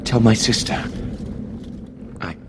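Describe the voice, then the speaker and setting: An actor playing a frightened, pleading young male captive in a video game, halting and anxious. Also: background noise, natural voice.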